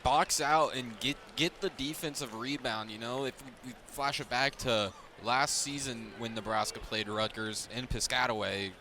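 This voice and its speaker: A man's voice: mid-range voice, fairly even intonation, normal speed, few pauses. A man giving conversational color analysis on a live basketball broadcast, explaining loosely and repeating words as he goes. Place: courtside broadcast in a basketball arena. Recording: loud arena noise behind. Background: basketball game ambience, ball bounces.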